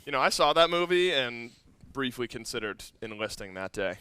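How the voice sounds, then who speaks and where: A man hosts a gaming roundtable in a bright, crisp voice with a dry, close sound. He talks casually and off the cuff, joking and tossing questions to his guests. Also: medium pitch, animated, moderate pace, no room sound. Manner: joking, bantering, asks many questions. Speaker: host of an esports roundtable. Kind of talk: casual unscripted panel hosting.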